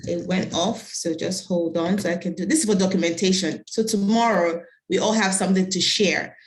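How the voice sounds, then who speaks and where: A woman's voice: lowish voice, steady tone, brisk. A woman on a video call, chatty and bubbly, directing everyone through group photos. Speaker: webinar co-organizer taking group photos. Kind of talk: casual video-call chat. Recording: roomy video-call audio.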